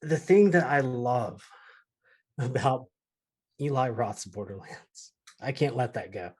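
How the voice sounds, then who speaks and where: A man's voice: mid-range voice, animated, slow, a little mellow, breathy edge. A man chatting casually as a podcast panelist, emphatic and prone to swearing. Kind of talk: casual chat about films and games.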